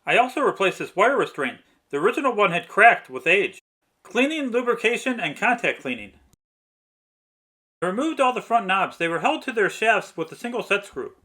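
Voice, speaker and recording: A man's voice: high-pitched, bright, forceful tone. A man reading a scripted step-by-step restoration narration in precise, methodical phrasing. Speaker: narrator of a radio restoration video.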